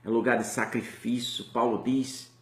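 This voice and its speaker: A man's voice: mid-range voice, level tone, a little slow, a bit bright. Male preacher, Brazilian Portuguese accent, fervent swelling delivery, echoey church sound. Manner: impassioned, rising and falling preaching. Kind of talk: sermon.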